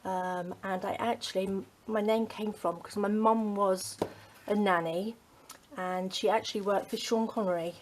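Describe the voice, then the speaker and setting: A middle-aged woman from the UK, chatting casually to her craft channel, rambling with many ums and dropping to a soft near-whisper as she works. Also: mid-range voice, fairly even intonation, normal speed, slight background noise. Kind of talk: casual chat while crafting.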